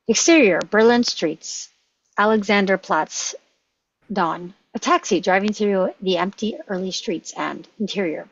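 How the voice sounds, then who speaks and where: A woman's voice: fairly low pitch, vocal fry, muffled recording. A woman reading screenplay stage directions aloud in clipped fragments. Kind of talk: script read-aloud.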